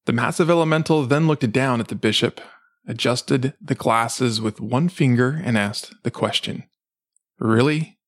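A man's voice: medium pitch, moderate pace. A man reading a fantasy story aloud as dramatic scripted narration. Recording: music behind the voice. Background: dramatic background music.

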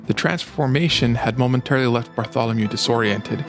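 A man's voice: mid-range voice, a little fast. A man reading a fantasy story aloud as dramatic scripted narration. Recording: music behind the voice. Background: dramatic background music.

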